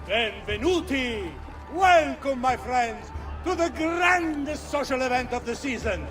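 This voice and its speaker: An actor playing a grand, welcoming Venetian ruler with an Italian accent, making an oration in a game cutscene. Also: male voice, very high voice, lively intonation, measured pace. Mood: jubilant welcome. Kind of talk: oratorical game cutscene acting. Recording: loud music behind. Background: music.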